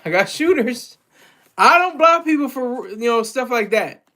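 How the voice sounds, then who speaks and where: Streamer, male, high-pitched, rambly casual chat with viewers, lots of restarts. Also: animated, a little slow, pushed and bright. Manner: loose, rambling, with frequent restarts and chuckles. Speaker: livestream host reading chat.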